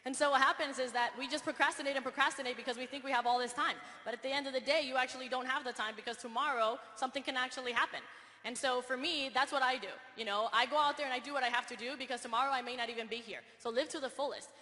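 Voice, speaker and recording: A woman's voice: high voice, fairly even intonation, very fast, sharp tone. A woman giving an earnest, insistent motivational speech on stage. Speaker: speaker at a business event. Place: large hall on stage. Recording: reverberant hall via PA.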